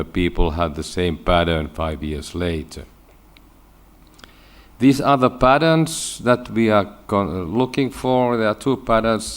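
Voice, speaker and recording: Deep-voiced Finnish man lecturing. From Finland. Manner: halting, with frequent repeated words. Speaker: medical researcher giving a lecture. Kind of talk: scientific conference presentation.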